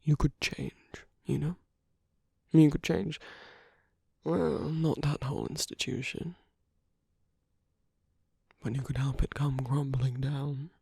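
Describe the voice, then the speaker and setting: A man playing a suave, flirtatious villain in an ASMR roleplay, speaking softly and intimately in a breathy half-whisper with a teasing, coaxing manner. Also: medium pitch, steady tone, slow, pauses often, no echo, studio quality, full character voice. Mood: earnest, tender. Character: suave flirtatious male villain wooing a hero at a masquerade.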